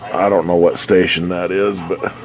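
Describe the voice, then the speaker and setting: A middle-aged man, slightly hoarse, chatting haltingly with many fillers while he fixes an old radio. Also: low-pitched, little pitch movement. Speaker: radio repair hobbyist. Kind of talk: casual workbench chat. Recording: noisy workshop recording.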